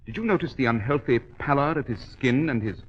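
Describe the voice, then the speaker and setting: An actor playing a keen, commanding English gentleman detective in 1940s radio drama, with a British accent and clipped, precise delivery. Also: medium pitch, fairly quick, natural voice. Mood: keen, assured. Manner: clipped, assured, precise, formal. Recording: dull vintage radio recording.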